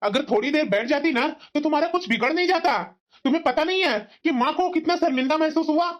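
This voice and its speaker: A dull, lo-fi recording of a high-pitched young husband in a family cartoon, acted out by a woman. He is a dutiful son, exasperated and reproachful as he reasons and scolds. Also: fast talker, lightly acted. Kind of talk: cartoon family drama dialogue. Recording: dull, muffled lo-fi sound.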